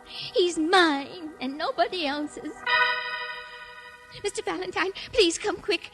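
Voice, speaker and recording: A woman's voice: very high-pitched, slow pace, a bit bright, soft background music, heavily acted. An actress playing a fussy, insistent, anxious American countrywoman reading out a letter in 1940s radio style. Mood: anxious, pleading. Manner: fussy, emphatic.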